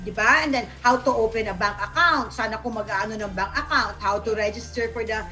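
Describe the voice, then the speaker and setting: A woman's voice: fairly high pitch, brisk, bright, forceful tone. A woman with a Filipino accent chatting casually as a guest on a panel. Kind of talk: casual chat in mixed Tagalog and English. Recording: music behind the voice. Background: background music.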